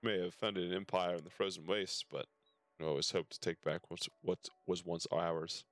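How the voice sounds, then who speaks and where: A man's voice: low voice, fairly even intonation, normal speed, a bit bright, no room sound. A man streaming a game, reading its dialogue aloud with casual asides and the odd stumble over the words. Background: game music.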